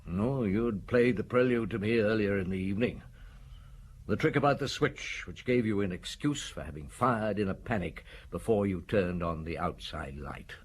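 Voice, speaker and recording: An actor playing a blunt, probing middle-aged police inspector in a radio drama. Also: male voice, fairly low pitch, animated, normal speed, slight background noise. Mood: stern.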